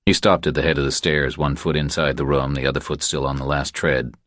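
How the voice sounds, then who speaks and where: A man's voice: extremely low pitch, little pitch movement, brisk, a bit bright, no echo, studio quality. A man reading a crime thriller audiobook as a scripted narration.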